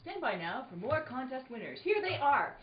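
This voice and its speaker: A woman's voice: high voice, very animated, normal speed, slight background noise. A woman playing a peppy old-time radio contest announcer, reeling off promotional patter for comedy. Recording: roomy, dull sound, crowd behind.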